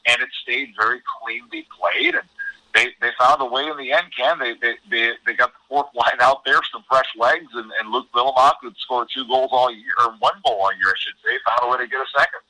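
Forceful, bright-voiced male hockey analyst. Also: mid-range voice, brisk, dry recording, poor audio. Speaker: hockey broadcaster, interview guest. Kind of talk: conversational sports analysis.

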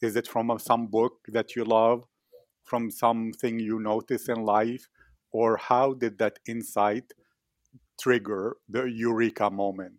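A man's voice: lowish voice, flat delivery, unhurried, no echo, studio quality. A man hosting an interview, asking long, rambling, inquisitive questions. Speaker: host, interviewer. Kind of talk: podcast interview.

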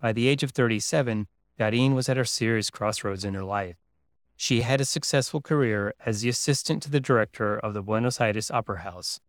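A man narrating the story and asking questions in a measured, plain delivery. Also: mid-range voice, dry recording, clean studio sound. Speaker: host and narrator. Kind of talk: scripted narration and interview questions.

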